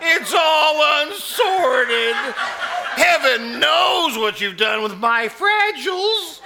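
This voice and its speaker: An actor playing a fussy, lovesick mail carrier who is easily indignant, in old-time radio comedy style. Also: male voice, very high voice, lively intonation, slow pace, gravelly, exaggerated voice. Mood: indignant, shrieking. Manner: fussy, exclamatory, melodramatic. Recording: noisy live stage recording.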